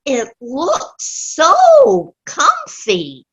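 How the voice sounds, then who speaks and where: Giddy elderly comic lady, played by a woman: very slow, wildly swinging delivery, muffled video-call sound. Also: very high voice, huge pitch swings, gravelly, a bit bright, heavily acted. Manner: giggly, whiny when wanting sweets. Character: giddy old woman, Jean, newly spry, craving treats. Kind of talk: virtual play reading, comedy.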